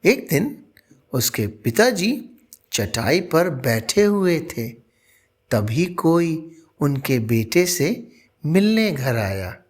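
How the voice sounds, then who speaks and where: Slow, expressive male storyteller. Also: fairly high pitch. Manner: deliberate, earnest, dramatic phrasing. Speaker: storyteller and channel presenter. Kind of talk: expressive scripted moral-story narration.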